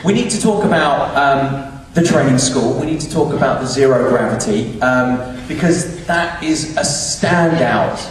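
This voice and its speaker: Male moderator, mid-pitched and effusive, heard from a distance in a big reverberant cinema. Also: measured pace, few pauses. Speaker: Q&A host. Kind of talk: live panel moderating. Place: large indoor cinema auditorium. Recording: echoey cinema hall, distant, noisy.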